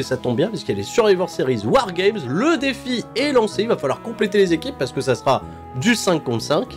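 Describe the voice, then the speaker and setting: A man hosting a wrestling recap, chatty and opinionated with sarcastic asides. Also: sits high. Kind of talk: casual wrestling show recap.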